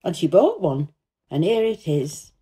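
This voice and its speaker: Woman doing a chatty young yorkshire maid from a storybook, happy n eager, kinda husky, bouncy pitch. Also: very animated, unhurried, mellow, heavily acted. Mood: cheerful, eager. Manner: eager, gossipy storytelling in broad dialect. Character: cheerful, chatty young Yorkshire housemaid, warm-hearted.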